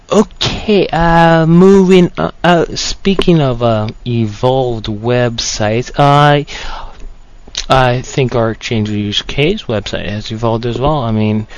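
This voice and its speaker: A man's voice: fairly low pitch, highs cut off. A man hosting a podcast part-time in casual chat, rambling with many fillers and self-corrections.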